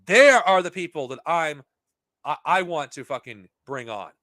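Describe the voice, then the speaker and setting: A man hosting a podcast, talking off the cuff to his viewers in a heated, profane, rambling way, his voice getting worn and hoarse. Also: on the high side, very animated, moderate pace, pushed and bright, dry recording, clean studio sound. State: losing his voice. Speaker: podcast host. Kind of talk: unscripted monologue to viewers.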